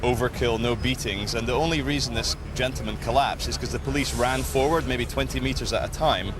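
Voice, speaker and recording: A man's voice: mid-range voice, a little fast. A man giving an eyewitness account in a news interview outdoors in a city. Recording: noisy outdoor city street, traffic.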